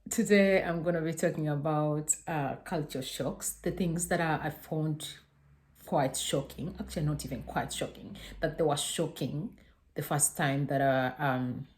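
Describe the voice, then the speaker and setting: A woman's voice: low-pitched, fairly even intonation, slow, a little mellow. A young woman from Uganda talking casually to her camera, hesitant and full of fillers and restarts. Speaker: vlogger.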